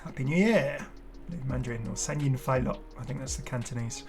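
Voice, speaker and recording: A man's voice: medium pitch, fairly quick, over music. A man giving casual, off-the-cuff video commentary as a gaming host, rambling and hesitant with apologetic asides.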